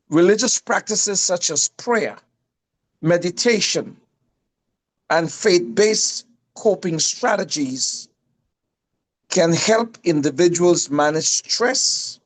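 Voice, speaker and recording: Slow, deliberate Guyanese man on a panel. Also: fairly high pitch. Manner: emphatic, deliberate, repeats phrasing. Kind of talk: panel discussion remarks.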